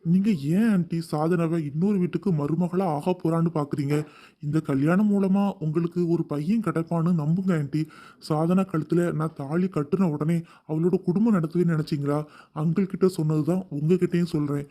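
A woman's voice: deep voice, level tone, moderate pace, warm tone, voice pushed down. Woman doing a falsely sweet young schemer. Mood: pleading. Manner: pleading, earnest-sounding.

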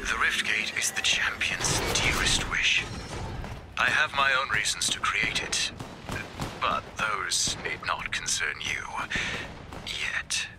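An actor playing a grave, secretive scientist of the stars in a video game, speaking in a solemn, halting, formal way with a hushed, breathy voice. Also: male voice, lowish voice, very animated, whispered. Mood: guarded, formal. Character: grave, secretive cosmic scientist serving a champion. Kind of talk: video game audio log acting.

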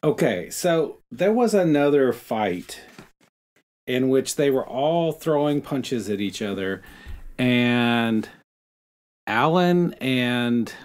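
A man co-hosting a podcast, discussing a book casually and somewhat haltingly as he searches for words. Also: mid-range voice, expressive, darkish tone. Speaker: podcast co-host.

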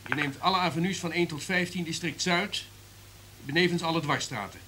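Imperious, sharp-voiced radio boss. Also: male voice, on the high side, brisk, slight background noise. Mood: brisk, commanding. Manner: imperious, authoritative. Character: ruthless, commanding radio station publicity director. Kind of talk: radio drama acting.

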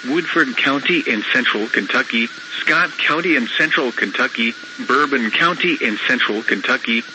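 A synthetic male announcer reading a formal, measured severe weather bulletin over the radio. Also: medium pitch. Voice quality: synthetic text-to-speech voice. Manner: formal, measured, flatly procedural. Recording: noisy weather radio broadcast recording.

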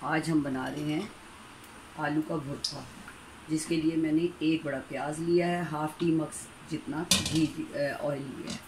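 A woman's voice: low-pitched, fairly even intonation, measured pace, background noise. A middle-aged woman hosting a home cooking tutorial, talking viewers through each step in a chatty, homely way, recorded in her kitchen. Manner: chatty, homely, addresses viewers warmly as family. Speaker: cooking channel host. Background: frying and stirring sounds.

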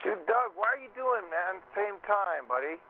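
A man making a prank phone call in casual, halting speech, swearing at times and acting innocent. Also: high-pitched, very animated. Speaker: prank caller. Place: telephone conference bridge. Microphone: telephone. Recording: narrow noisy phone conference line.

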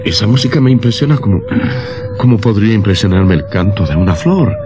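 A man's voice: lowish voice, animated, a little fast, lightly acted. A man playing an eager, respectful young journalist in a radio horror drama, with a questioning, theatrical manner. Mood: curious, puzzled. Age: young adult. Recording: prominent dramatic music behind the voice.

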